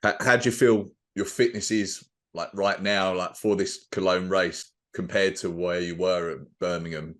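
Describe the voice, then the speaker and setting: Guy hosting a podcast, deep voice, casual interview chat. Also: flat delivery, normal speed, hardly any pauses, a bit bright.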